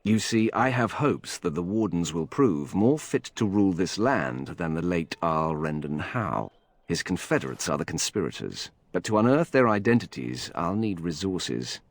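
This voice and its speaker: An actor playing a wary, calculating vigilante informant in a video game, speaking in a measured, secretive, formal way. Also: male voice, deep voice, very animated, exaggerated voice. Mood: guarded, conspiratorial.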